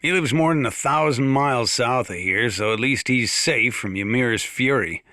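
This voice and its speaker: An aging American sheriff of a small mountain town for a video game. The voice is bright and firm at a middling pitch, recorded dry with no room around it. He rambles in a folksy, wry way, like a weathered veteran of the backwoods. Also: no echo. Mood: dryly amused. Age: older. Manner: folksy, rambling, dryly humorous. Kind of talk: in-character radio monologue for a video game.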